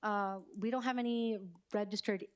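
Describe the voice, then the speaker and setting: A woman's voice: medium pitch, steady tone, moderate pace, clean tone. A woman chairing a city council committee meeting, talking in long hesitant runs with many fillers, and saying she is losing her voice.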